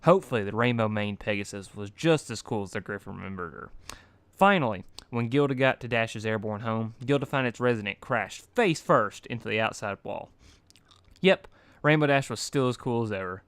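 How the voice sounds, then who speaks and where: A man's voice: fairly low pitch, animated, a little fast, fairly bright tone, clean tone, no echo. A man reading fan fiction aloud in an informal, amateur storytelling style, occasionally stumbling over words. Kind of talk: animated amateur story narration.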